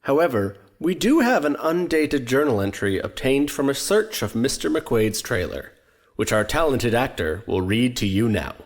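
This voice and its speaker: An actor playing a wry documentary host, narrating an audio drama formally and with dramatic emphasis. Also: male voice, medium pitch, sing-song, normal speed. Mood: grave, rhetorical. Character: theatrical, wry male documentary host narrating with mock gravity. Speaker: narrator and presenter. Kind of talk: scripted narration, true-crime documentary parody.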